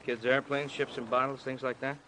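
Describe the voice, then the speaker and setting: A man's voice: mid-range voice, flat delivery, brisk, bright, forceful tone, lightly acted. Needling cop guy done by an actor, fake chummy, mid voice, punchy repeats, old radio crime show w some hiss. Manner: insinuating, repetitive, short punchy lines. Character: needling, falsely friendly male police detective, boxing fan. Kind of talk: old-time radio crime drama acting. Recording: faintly noisy vintage radio recording.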